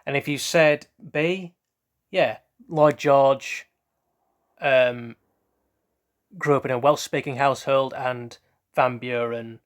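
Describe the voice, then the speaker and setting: A man hosting a casual trivia quiz, reading out questions and then rambling loosely through hesitant asides about the answers. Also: mid-range voice. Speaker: quiz host.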